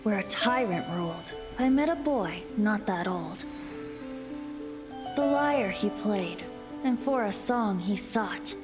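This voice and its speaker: A woman's voice: mid-range voice, animated, slow pace. A woman playing a boyish, ancient wind spirit bard in a video game, a playful, lilting storyteller with a light voice. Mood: dramatic, wistful. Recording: game music behind the voice.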